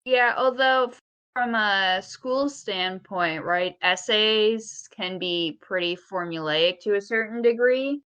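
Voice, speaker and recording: A woman talking casually as a podcast co-host, earnest and a bit halting as she argues her points. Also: medium pitch, fairly bright tone, clear, resonant tone, highs cut off. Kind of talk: casual speculative podcast chat.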